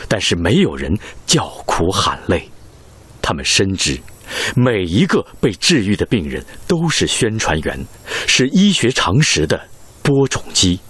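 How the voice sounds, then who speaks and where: A man's voice: low voice, fairly bright tone, slightly rough. A man delivering scripted narration for a radio drama.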